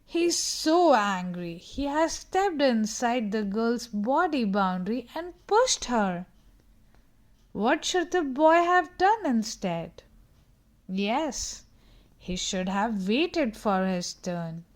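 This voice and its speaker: A woman's voice: a little high, sing-song, slow. A woman reading a picture book aloud to young children in an instructive way, putting questions to her listeners.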